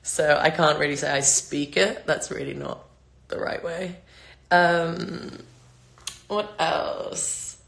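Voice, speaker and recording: A woman's voice: low voice, slow, vocal fry, a bit bright. A woman in her twenties with a London accent, casually answering fan questions on a phone livestream, rambling with lots of fillers and restarts. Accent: London English. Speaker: singer answering fans' questions. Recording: echoey room on a phone livestream.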